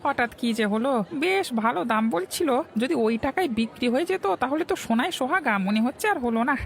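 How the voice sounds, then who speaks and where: Eager cartoon fish seller played by a woman, highish gravelly voice, salesmanlike chatter. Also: animated, moderate pace, a little hiss or hum. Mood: disappointed. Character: sly, eager market fish seller named Rabi. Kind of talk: Bengali cartoon dialogue.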